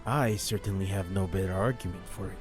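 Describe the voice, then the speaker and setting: A man's voice: deep voice, brisk, music in the background, natural voice. A man voicing an articulate, unrepentant rogue who justifies himself, in a fantasy audiobook. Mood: agreeable. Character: smooth-talking thrifty male rogue, unrepentant and self-justifying.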